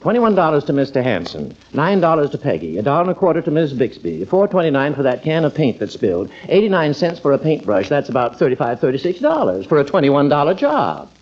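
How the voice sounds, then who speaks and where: A man's voice: a little high, wildly swooping pitch, fast talker, few pauses, a little mellow. A man playing a wry, exasperated middle-aged doctor father in a 1950s American radio comedy, grumbling with dry sarcasm. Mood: indignant. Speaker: radio actor. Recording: dull, very noisy old radio recording.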